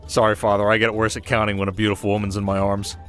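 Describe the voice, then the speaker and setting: A man playing an earnest, playful young warrior in an audio drama, joking and quick to get defensive. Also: fairly low pitch, monotone, fairly bright tone, soft background music, close to an everyday voice. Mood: flustered. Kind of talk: comic audio drama acting.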